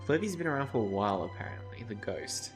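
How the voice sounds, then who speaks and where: A man's voice: lowish voice, very animated, fast talker, over music. A young man chatting casually and slangily as he reacts to a game on a livestream. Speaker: game streamer. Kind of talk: casual gaming reaction chat.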